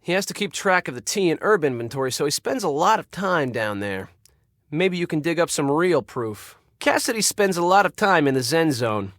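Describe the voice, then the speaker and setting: An actor playing a bossy, irritable and secretly crooked spa manager in a video game, curt and sarcastic. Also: male voice, on the high side, very animated, moderate pace, fairly bright tone, no echo, studio quality. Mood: conspiratorial, insistent. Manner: curt, sarcastic, barking orders.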